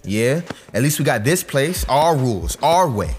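An actor playing a hot-headed, anxious young activist in an audio drama, speaking in an impassioned, colloquial way. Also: male voice, mid-range voice, big pitch swings, measured pace, darkish tone, soft background music. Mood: agitated, worried.

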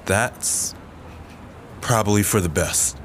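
Actor as deep-voiced, earnest peacemaker. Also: male voice, a little hiss or hum. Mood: gentle, sympathetic. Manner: measured, sympathetic, sincere. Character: earnest, calm young man urging unity and healing. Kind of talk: audio drama acting.

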